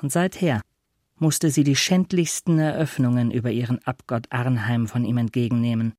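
A man narrates a literary radio play from a script. His voice sits at a middling pitch, recorded very dry with no room around it. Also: fairly even intonation, moderate pace, a little mellow, no room sound.

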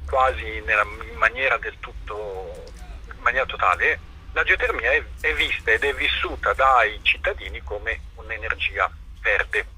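A man being interviewed as an activist, explaining informally and haltingly, searching for his words. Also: fairly low pitch, lively intonation, pushed and bright, a little hiss or hum, rough recording.

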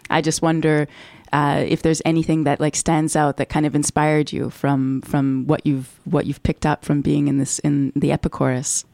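A woman's voice: sits low, level tone, normal speed, creaky, darkish tone, no room sound. A woman interviewer asking long, hesitant questions full of fillers. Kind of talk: conversational interview.

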